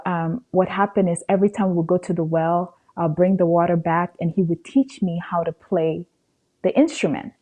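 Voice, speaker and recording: A woman from Cameroon speaks as an interview guest in a low, very mellow voice. She tells a personal story conversationally, retelling exchanges in quoted dialogue. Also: rough recording. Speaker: podcast guest.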